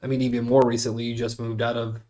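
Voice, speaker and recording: A man's voice: mid-range voice, monotone, quick pace, dark tone. A man hosting a podcast interview over a video call, talking in an easygoing, candid way. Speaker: podcast host. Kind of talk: casual interview.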